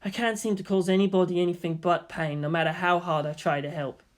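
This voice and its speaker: A calm, sorrowful teenage boy as a narrator acts him out in a story reading. He speaks softly and earnestly, with a measured, pleading manner. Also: mid-range voice, close to an everyday voice. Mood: sad.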